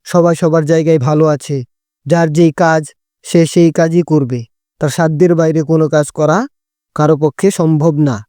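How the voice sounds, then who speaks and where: A man's voice: fairly high pitch, fairly even intonation, moderate pace, warm tone, dry recording, clean studio sound. A man narrating a scripted cartoon fable as a storyteller.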